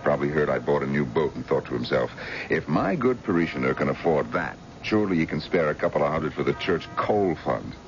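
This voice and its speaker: Deep-voiced, blustering, touchy husband. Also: male voice, lively intonation, a little fast. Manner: gruff, irritable, emphatic. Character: touchy, blustering wealthy husband, impatient and domineering. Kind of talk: radio drama acting. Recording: dull, noisy old radio broadcast recording.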